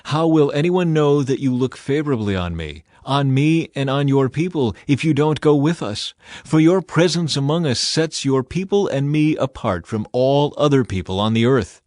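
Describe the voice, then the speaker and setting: A man's voice: medium pitch, lively intonation, normal speed, few pauses, a little mellow, no room sound, clean studio sound, pitched above the performer's own voice, close to an everyday voice. A man voicing an earnest, solemn prophet who leads and pleads for his people. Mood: earnest pleading.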